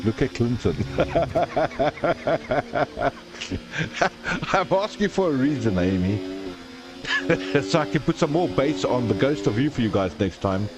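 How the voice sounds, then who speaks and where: A man's voice: medium pitch, expressive, takes it slowly, long pauses, music in the background. A man hosting a live sim racing stream, calling the race and joking with viewers in the chat. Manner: jokey, chatty, reads viewer comments aloud.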